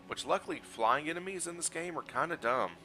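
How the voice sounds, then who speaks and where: A man streaming a video game while sick, hoarse and scratchy, talking through his play in a relaxed, explanatory way and greeting his chat. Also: a little fast, soft background music. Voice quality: scratchy, low energy. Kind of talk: casual gameplay walkthrough commentary.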